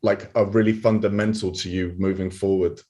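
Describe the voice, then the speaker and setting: A man's voice: low voice, level tone, moderate pace, darkish tone. Casual affirming male podcast host. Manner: casual, affirming, lightly swearing. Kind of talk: casual interview chat.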